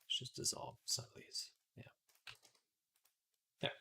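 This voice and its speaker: A man hosting a livestream in casual tutorial style, muttering to himself as he works and chatting with viewers. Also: slow pace. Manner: mutters to himself, then addresses viewers brightly. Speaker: livestream host, 3D artist. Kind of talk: casual livestream tutorial chat while working. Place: indoors at a computer desk.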